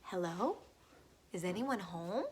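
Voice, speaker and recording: A woman's voice: on the low side, lively intonation, takes it slowly, slightly rough, pitched below the performer's own voice, heavily acted. A woman voicing a curious, wayward little girl from a storybook. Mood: tentative.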